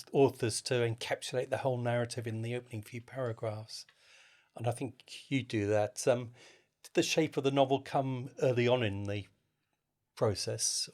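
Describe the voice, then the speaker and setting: A man's voice: medium pitch, steady tone, normal speed, a little mellow. A man interviewer putting prepared questions in a book interview.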